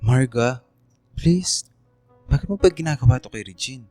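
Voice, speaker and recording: A man's voice: medium pitch, expressive, a little slow, dark tone, dry recording, soft background music. A man playing a reluctant young accomplice in a radio drama, pleading in a subdued way.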